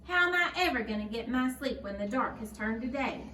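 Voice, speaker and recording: A woman's voice: fairly high pitch, expressive, a little fast, sharp tone. Woman voicing a grumbling old peasant woman, roomy recording. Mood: puzzled, grumbling. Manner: grumbling, muttering, dismissive. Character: crotchety old peasant woman who lives alone, sweeping and muttering. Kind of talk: children's storybook character voice. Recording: roomy, distant mic, faint noise.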